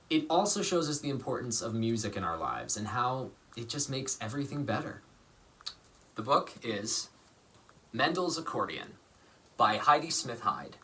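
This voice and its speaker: Male storybook reader, bright forward tone, very animated melody, theatrical emphasis. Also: medium pitch. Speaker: actor reading a children's storybook aloud. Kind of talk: expressive storybook narration.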